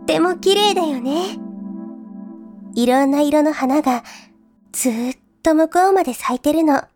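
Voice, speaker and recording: A woman voicing a gentle, soothing older-sister girl who speaks in a tender, coaxing storytelling tone. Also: high-pitched, a little slow. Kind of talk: voice drama. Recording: gentle new-age music behind. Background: new-age music.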